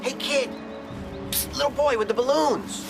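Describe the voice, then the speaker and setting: A man's voice: very high-pitched, moderate pace. A male actor playing a flustered young American man in a comic radio drama, stammering and exasperated. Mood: wheedling, exasperated. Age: young adult. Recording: music behind the voice.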